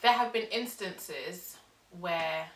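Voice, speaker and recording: A woman in her twenties in a casual panel chat, speaking in a measured, thoughtful way. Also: fairly low pitch, fairly bright tone. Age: 20s. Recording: echoey room, distant mic, faint hiss.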